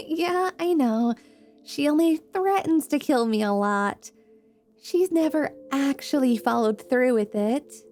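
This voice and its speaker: A woman playing a bright, loud, cheerful young adventurer who gets easily flustered, in a fantasy ASMR roleplay. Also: high-pitched, lively intonation, takes it slowly, soft background music. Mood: breezy, friendly.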